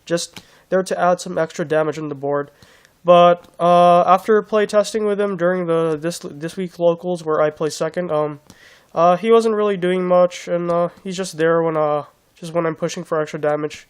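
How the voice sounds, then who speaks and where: A young man with a slightly raised pitch talks through a card game deck in a loose, casual ramble. His voice is hoarse from being sick, and he often stops and restarts his sentences. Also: steady tone, moderate pace. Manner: rambling, many restarts and fillers. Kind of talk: casual deck profile walkthrough.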